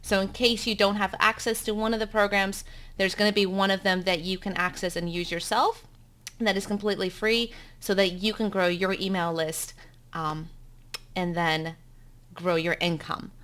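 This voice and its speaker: A woman's voice: medium pitch, steady tone, normal speed, a bit bright. A woman in her thirties from South Africa, Afrikaans her first language, with a South African accent, teaching bloggers in a chatty, upbeat live webinar from home, with frequent ums.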